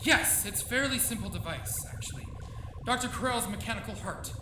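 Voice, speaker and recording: A man's voice: high-pitched, fairly quick, background noise, natural voice. An actor playing a proud, obsessive middle-aged scientist and father in a theatrical, declamatory old-time radio style. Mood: proud explaining. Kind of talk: live old-time radio drama acting. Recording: roomy live stage, loud music behind.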